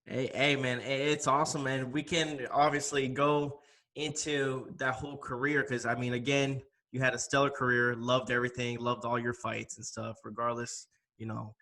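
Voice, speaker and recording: Bright-voiced, eager man hosting. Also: mid-range voice, flat delivery, normal speed. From New Jersey, raised in Miami. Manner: enthusiastic fan, friendly, asks questions. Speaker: podcast host. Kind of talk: casual interview conversation.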